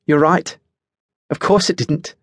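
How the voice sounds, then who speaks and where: Man voicing a chirpy, bookish young woman, pitched up. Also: fairly even intonation, slow, no echo, clean studio sound. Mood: matter-of-fact. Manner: cheerful, precise, lecturing, unruffled. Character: bright, oblivious, bookish young woman, chatty and matter-of-fact.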